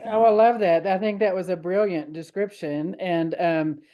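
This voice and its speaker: A woman's voice: on the low side, normal speed, lo-fi sound. A woman hosting a church discussion group, encouraging others to speak while stumbling through many fillers. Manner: warm, encouraging, hesitant with fillers. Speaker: discussion host.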